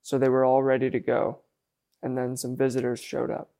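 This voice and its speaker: A man reading scripted news narration as a reporter, in a measured delivery. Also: mid-range voice, monotone, mellow.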